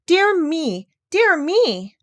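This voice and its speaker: A woman voicing a kindly, fretful gentleman doctor in a storybook. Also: extremely high pitch, big pitch swings, very slow, no echo, clean studio sound, voice pushed up, exaggerated voice. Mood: flustered. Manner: mild and fretful.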